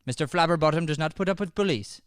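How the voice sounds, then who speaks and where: Man playing a pompous Irish schoolteacher, high clear voice. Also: fast, no echo, studio quality, close to an everyday voice. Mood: firm, forgiving. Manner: scolding, pompous, emphatic. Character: stern, pompous but forgiving male Irish schoolteacher. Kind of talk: improvised old-time radio comedy.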